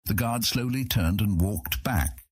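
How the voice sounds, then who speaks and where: Very deep, husky male narrator. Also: unhurried, dry recording, studio quality. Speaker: audiobook narrator. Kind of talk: dramatic scripted fantasy narration.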